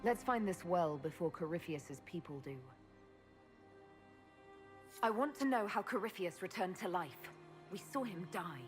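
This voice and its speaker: A woman's voice: lowish voice, expressive, a little slow, long pauses. A woman playing a resolute, inquiring leader of a quest in a fantasy game, direct and composed in manner. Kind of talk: fantasy game dialogue acting. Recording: loud game music behind the voice. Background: game soundtrack music.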